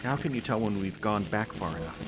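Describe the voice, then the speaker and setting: Actor playing flustered reluctant sidekick, stammering, doubtful, very lively intonation, radio drama, music behind. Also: medium pitch, fairly quick, close to an everyday voice, low-quality recording. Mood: bewildered. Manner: stammering, questioning. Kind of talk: audio drama acting.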